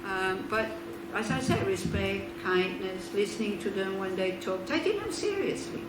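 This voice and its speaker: A woman's voice: medium pitch, lively intonation, normal speed. Woman in a roomy, distant talk. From Switzerland. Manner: chatty, laughing asides, rhetorical questions. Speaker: Buddhist nun giving a talk. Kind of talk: informal teaching talk. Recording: roomy, distant mic, background noise.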